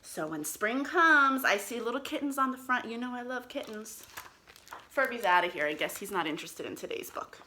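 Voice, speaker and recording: A woman reading aloud to young children as a storytime host, expressive and sing-song, speaking to them directly with questions and encouragement. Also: on the high side, moderate pace, a little hiss or hum.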